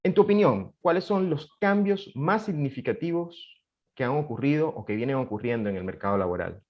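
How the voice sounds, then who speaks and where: A man's voice: mid-range voice, expressive, dull audio. A man acting as moderator of a panel, reading a formal prepared introduction. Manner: formal, measured delivery.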